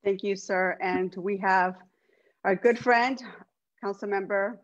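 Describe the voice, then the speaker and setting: A woman's voice: medium pitch, fairly even intonation, takes it slowly, dull audio. A woman whose first language is Spanish, from Los Angeles, speaking earnestly as city council president on a video call. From Los Angeles, USA. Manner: earnest, speaks with many restarts. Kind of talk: opening remarks at an online public meeting.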